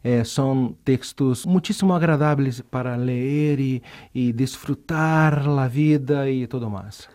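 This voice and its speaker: A man's voice: mid-range voice, slow pace, hardly any pauses, mellow. A man from Rio de Janeiro, Brazil, whose first language is Portuguese, speaking with a carioca accent as a professor guest in an interview, thoughtful and hesitant with fillers. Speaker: university art history professor.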